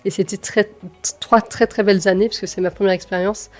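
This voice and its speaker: A woman in her thirties tells personal stories conversationally as an interview guest, with halting phrasing and repeated words. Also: mid-range voice, fairly even intonation, soft background music. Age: 30s. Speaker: podcast guest, engineer and manager.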